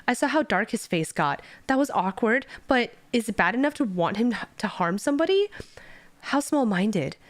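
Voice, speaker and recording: A woman's voice: fairly high pitch, big pitch swings, moderate pace, no room sound, voice pushed up. A woman voicing a petty onlooker who spreads snide gossip.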